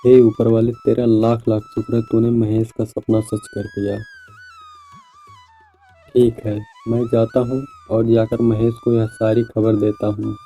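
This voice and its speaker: A man's voice: medium pitch, monotone, measured pace, dark tone, faint music behind, close to an everyday voice. A man voicing a naive, hopeful and honest young village farmer in a cartoon moral story, speaking earnestly with exclamations. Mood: grateful, resigned.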